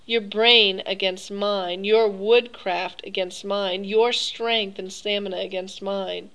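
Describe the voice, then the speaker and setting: Woman doing a smooth cruel cossack general, polite and slow, kinda lilting up high, storybook read. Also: steady tone, fairly bright tone. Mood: enthusiastic. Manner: silky, courteous, drawn-out phrasing. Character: suave, cruel aristocratic male Cossack hunter.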